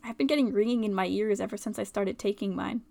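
A woman's voice: mid-range voice, fast, vocal fry, dark tone, lightly acted. A woman playing a curious, concerned college student in a play reading, plain-spoken and questioning. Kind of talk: dramatic reading of a stage play.

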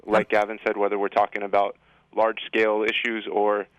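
A man's voice: fairly low pitch, completely flat delivery, brisk, fairly bright tone, no room sound, dull audio. A man speaking as a school administrator guest in an interview, explaining things in an assured way. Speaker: high school assistant principal guest. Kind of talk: interview answers.

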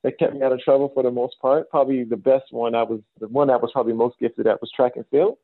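A middle-aged man with an American accent answers interview questions in a conversational, explanatory way. His voice has a creaky edge at an average male pitch. The sound is dry and muffled, like a remote call. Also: fairly even intonation, no echo. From south side of Chicago, United States. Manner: explanatory, measured, often says "you know". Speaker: interview guest, business school dean.

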